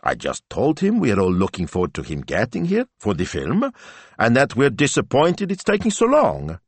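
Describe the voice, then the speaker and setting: A man's voice: mid-range voice, huge pitch swings, moderate pace, breathy edge, dry recording, pitched above the performer's own voice, full character voice. A man voicing a self-absorbed, chatty young woman in an audiobook, pouty, breezy and earnest. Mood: wistful, hopeful.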